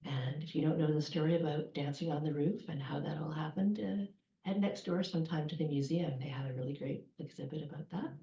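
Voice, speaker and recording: A woman's voice: low voice, fairly even intonation, brisk, mellow. A woman welcoming people to a public event as its host, chatting informally through announcements with joking asides. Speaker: library event host and outreach coordinator. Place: indoors in a library event room. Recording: roomy, slightly muffled live room recording.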